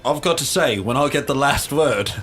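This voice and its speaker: A man's voice: medium pitch, moderate pace, fairly bright tone, faint music behind. A man chatting casually as a player in a tabletop role-playing game.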